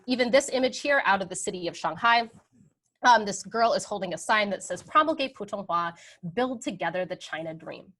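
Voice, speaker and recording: A woman's voice: mid-range voice, fairly bright tone. Conversational woman giving an academic lecture. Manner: conversational, frequent "um" and "right?" tags.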